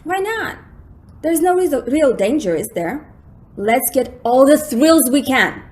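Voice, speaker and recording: A woman acting an excitable, thrill-seeking, easily frightened young woman in a melodramatic, girlish radio drama style. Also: sits high, slow pace, heavily acted. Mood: playful, thrilled.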